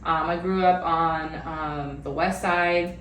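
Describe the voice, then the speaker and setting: A young woman from Arizona, born in Iran, chatting as a podcast guest, animated and slangy, laughing often. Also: low voice, slow, recorded from a distance. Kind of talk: casual chat. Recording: roomy, from the mic, faint hiss.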